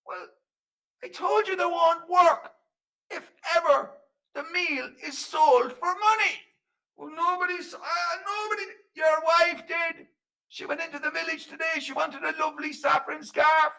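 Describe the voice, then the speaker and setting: A man voicing an irascible little fairy man in a folk tale, shrill and strained, scolding humans emphatically. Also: fairly even intonation, unhurried, vocal fry, sharp tone, recorded from a distance, exaggerated voice, rough recording. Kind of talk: live oral folk tale.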